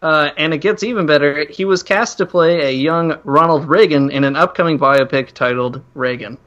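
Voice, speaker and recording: A man's voice: on the high side, normal speed, fairly bright tone. A man hosting a podcast, chatting casually and jokingly about movies over a slightly dull, lo-fi recording. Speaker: podcast host. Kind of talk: casual joking film chat.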